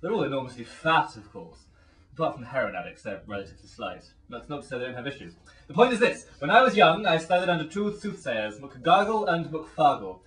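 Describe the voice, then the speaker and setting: A man playing a pompous, florid, rambling gentleman in an absurd comic radio play. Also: fairly high pitch, very animated, fairly quick. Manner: florid, grandiloquent. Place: small dressing room. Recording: echoey room, distant microphone.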